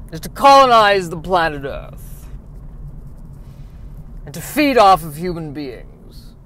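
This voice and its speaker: A man's voice: a little high, fairly bright tone, heavily acted. A man voicing a grandiose, menacing alien villain with careful, theatrical pronunciation in a sci-fi radio play. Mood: grandiose menace. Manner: slow, carefully pronounced, theatrical. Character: grandiose alien villain, articulate, menacing invader offering utopia. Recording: noisy recording.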